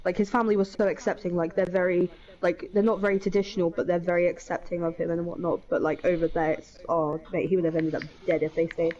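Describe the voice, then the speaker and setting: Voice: medium pitch, little pitch movement, fast talker, few pauses, darkish tone. Chatty voice on a muffled line. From United Kingdom. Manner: chatty, rambling with many fillers. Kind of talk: casual voice chat while gaming.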